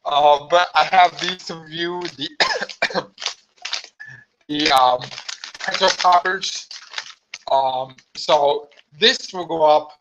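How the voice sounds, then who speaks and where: A hoarse man chatting casually on a live stream from home, rambling with many fillers. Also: on the high side, takes it slowly, long pauses, background noise. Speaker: vlogger hosting a live stream. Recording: slightly dull lo-fi live stream audio.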